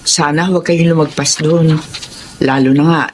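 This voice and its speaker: A woman plays a middle-aged mother, kindly and full of caution. The style is radio drama acting. Clear noise sits behind the voice. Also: low voice, steady tone, normal speed, darkish tone, lightly acted.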